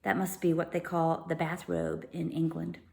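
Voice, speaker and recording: A woman's voice: low-pitched, completely flat delivery, vocal fry, a little mellow. Woman reading a children's book aloud. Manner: pauses to explain unfamiliar words informally. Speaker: read-aloud storyteller. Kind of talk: children's book narration with explanatory asides.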